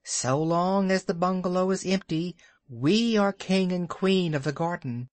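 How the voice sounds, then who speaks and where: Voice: mid-range voice, unhurried, no room sound, pitched above the performer's own voice, natural voice. A storyteller voicing a cold, commanding female cobra who plots in an urgent, persuasive tone. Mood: insistent.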